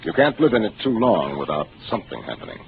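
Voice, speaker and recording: An actor playing a calm, seasoned starship captain who speaks in a measured, authoritative way, in a 1950s radio drama. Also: male voice, fairly low pitch, gravelly. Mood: explaining. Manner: measured, authoritative, explaining patiently. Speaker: ship captain. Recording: hissy narrow 1950s radio broadcast.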